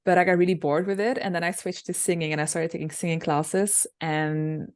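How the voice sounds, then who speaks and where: Low-voiced young woman singer. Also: steady tone, a little fast. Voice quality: low alto voice. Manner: chatty, many fillers and restarts. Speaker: singer and songwriter, interview guest. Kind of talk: casual interview answers.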